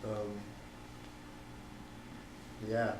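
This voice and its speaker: A young man from the Netherlands answering interview questions casually, pausing often with ums and likes. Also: on the low side, completely flat delivery, roomy sound, distant mic, noisy background. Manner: hesitant, many ums and likes.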